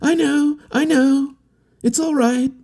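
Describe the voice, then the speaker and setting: A gabbling, high-voiced boyish young Russian. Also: male voice, steady tone, darkish tone, full character voice. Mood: cheerful. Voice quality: high, falsetto-like cries. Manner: rapid, eager and changeable.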